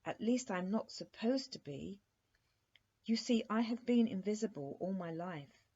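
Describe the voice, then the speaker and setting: A woman's voice: mid-range voice, animated, measured pace, dark tone, husky, pitched above the performer's own voice. A woman voicing a courteous, wistful young prince in a storybook, polite and a little plaintive. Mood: politely earnest. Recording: dull, muffled lo-fi recording.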